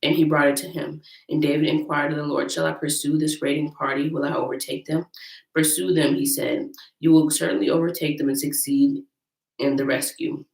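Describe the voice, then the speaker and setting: An American woman with a deep voice roughened by a cold, talking informally to viewers as host of a Bible-reading vlog. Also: level tone, fairly quick, darkish tone. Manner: informal, chatty, addressing viewers as y'all. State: getting over sickness. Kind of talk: casual chat and reading scripture aloud. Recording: roomy echo, distant microphone.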